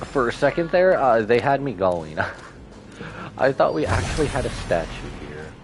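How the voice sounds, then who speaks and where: A man livestreaming a game with casual, slangy, excitable commentary and reactions. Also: mid-range voice, a little slow. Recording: game music behind the voice.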